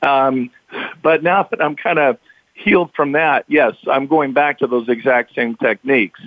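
A man with a slightly raspy, hoarse voice, speaking as an interview guest in an earnest, open way with halting bursts. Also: medium pitch, no room sound. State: recovering from illness, slightly hoarse. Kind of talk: remote interview. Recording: tinny phone-line audio, dry.

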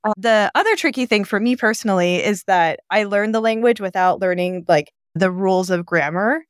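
A woman's voice: mid-range voice, normal speed, clear, resonant tone, no room sound, clean studio sound. A woman in her thirties, raised in Japan, talking as a guest on an interview podcast, explaining things at length in a thoughtful, easygoing way. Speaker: guest, venture investor. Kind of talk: casual interview conversation.

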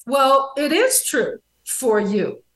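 A woman guest on a radio talk show, speaking emphatically and affirming often, sometimes in quick bursts. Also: high-pitched, sing-song. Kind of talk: casual conversation. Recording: echoey room sound.

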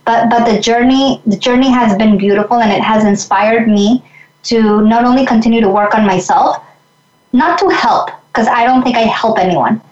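Earnest, higher-voiced woman from Mexico. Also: normal speed, darkish tone, reverberant, highs cut off. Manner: reflective, earnest, with frequent restarts. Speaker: guest. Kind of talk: conversational interview.

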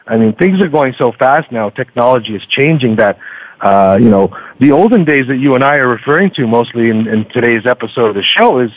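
Male interview guest, mid-pitched, conversational and halting, doubles back on words, dry lo-fi radio sound. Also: no room sound. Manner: halting, repeats words as thoughts form. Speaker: podcaster guest on a radio show. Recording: slightly dull, lo-fi radio sound.

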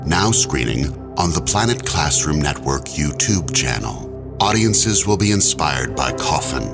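A man's voice: extremely low pitch, big pitch swings, a little slow. A man hosting, reading a scripted promotional introduction. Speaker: host introducing a film and guest. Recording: loud music behind voice. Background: loud music.

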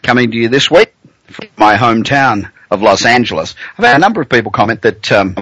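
A man's voice: medium pitch, expressive, brisk, a bit bright, no room sound, rough recording. A man with an Australian accent hosting a business talk radio show, blunt and joking, chatting with fillers.

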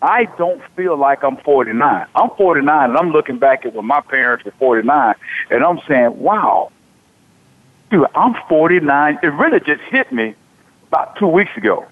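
A man in his forties hosts sports talk radio, his voice fairly high and swinging up and down with lively energy. He talks loosely and colloquially, stumbling over restarts. The sound is thin and tinny, like a phone line. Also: moderate pace. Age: 40s. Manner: animated, repeats himself, colloquial. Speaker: radio show host.

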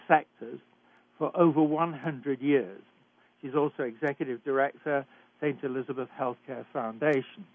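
An older British-accented man, a physician, hosting a talk radio show in a measured, emphatic way with some hesitations. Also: a little high, dry recording. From Britain. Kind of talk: hosted talk radio introduction. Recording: dry, phone-like narrow sound.

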